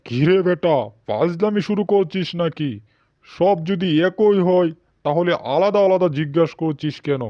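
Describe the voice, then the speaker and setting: Guy doing haughty grumpy animal king in a cartoon, highish male voice, bossy, kinda dull lo-fi audio. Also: moderate pace, no room sound, close to an everyday voice. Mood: irritated. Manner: imperious, questioning, testy. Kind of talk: cartoon dialogue.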